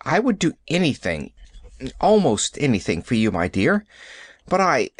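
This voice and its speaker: A man's voice: mid-range voice, no room sound, studio quality. A man voicing a stern, anxious middle-aged aunt who speaks stiffly and hesitantly in a storybook reading.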